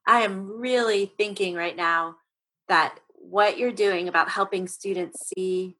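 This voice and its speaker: A woman's voice: medium pitch, steady tone, unhurried, sharp tone, poor audio. A woman hosting an interview, engaged and thoughtful, summing up her guest's points. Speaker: podcast host and interviewer. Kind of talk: conversational interview.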